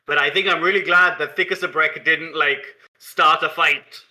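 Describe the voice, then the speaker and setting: Man, sharp bright timbre, slightly high pitch, casual tabletop player. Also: fairly even intonation, moderate pace, poor audio. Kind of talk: casual tabletop game chat.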